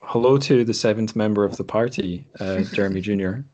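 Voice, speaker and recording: Male podcast host, low voice, wry and whimsical, informal chat. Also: brisk, warm tone, dull audio. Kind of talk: casual chat. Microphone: internet call.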